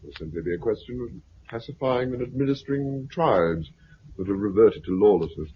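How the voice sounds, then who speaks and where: A man acts a smug authoritarian commander from a vintage radio serial. He speaks low with wide, lively swings, officious and condescending. The old recording is dull and noisy. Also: fairly quick, close to an everyday voice. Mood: officious, cold. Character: smug authoritarian military commander of a post-apocalyptic regime. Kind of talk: 1950s radio drama acting.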